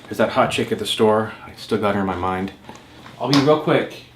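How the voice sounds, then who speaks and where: A man's voice: on the low side, normal speed, close to an everyday voice. A man acting a grumbling, obliging dinner companion in a comedy sketch. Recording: echoey, noisy playback of a video.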